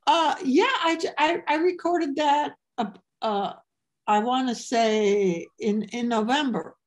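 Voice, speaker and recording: A woman comedian talking as an interview guest in a playful, halting way, with many restarts. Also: fairly high pitch. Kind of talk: casual interview chat.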